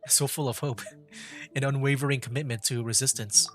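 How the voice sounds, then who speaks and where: A man's voice: medium pitch, steady tone, moderate pace. A man giving casual live commentary as he streams a video game. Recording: game music behind voice. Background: video game music.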